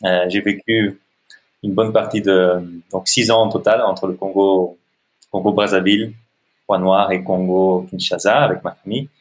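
An Italian man in his thirties, a non-native French speaker, answering interview questions in a halting way with many fillers and restarts. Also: deep voice, steady tone. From Italy. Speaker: podcast guest, social entrepreneur. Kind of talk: hesitant conversational interview answers.

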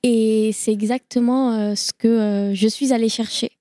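A woman of Indian origin speaking on a live panel, earnest and halting, with many fillers. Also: fairly high pitch, fairly even intonation, warm tone, no room sound, studio quality.

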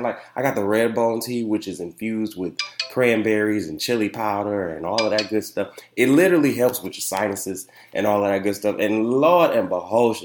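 Young male podcast host, stuffy and nasal, lowish voice, loose rambling chat in an echoey room. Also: slight background noise. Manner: rambling and chatty, keeps asking "you know what I'm saying". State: nasal and congested. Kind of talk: casual solo podcast chat. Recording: roomy, echoey room sound.

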